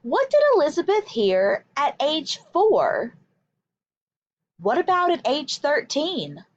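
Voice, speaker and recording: Animated high-voiced woman reading to children. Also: no room sound, highs cut off. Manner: deliberate, emphatic storytelling for young children. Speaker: teacher reading a picture book aloud. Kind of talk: expressive children's read-aloud, instructional.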